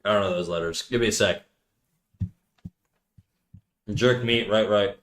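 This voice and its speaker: Slangy, bright-voiced man streamer. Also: on the low side, a little slow, slight background noise. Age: 30s. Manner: slangy, rambling, reacts to chat messages. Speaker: live streamer. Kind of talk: casual live-stream chat.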